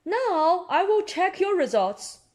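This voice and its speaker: A woman's voice: very high-pitched, sing-song, slow, a little mellow, pitched above the performer's own voice, exaggerated voice. A woman voicing a kindly male pony doctor in a children's storybook, helpful and reassuring. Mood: helpful, cheerful.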